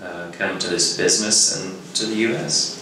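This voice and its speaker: A middle-aged man with a very low, fairly even voice talks earnestly in an interview. He sits in a strongly echoing room and sounds like an executive explaining his work. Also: normal speed. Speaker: nonprofit executive director. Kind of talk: conversational interview. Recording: echoey room, distant mic, faint noise.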